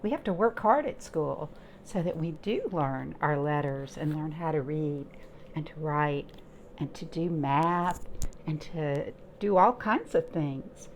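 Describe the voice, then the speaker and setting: A woman's voice: deep voice, slow pace, slight background noise. A woman leading a library storytime, chatting encouragingly to young children and asking them simple questions as she reads aloud.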